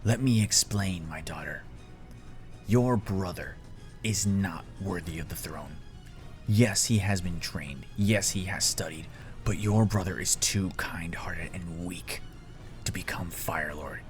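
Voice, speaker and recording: Man playing a hushed, menacing tyrant king. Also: sits low, soft background music, voice pushed down. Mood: menacing, scheming. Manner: slow, grave, menacing, deliberate pauses. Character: cold, commanding, scheming middle-aged tyrant king and father.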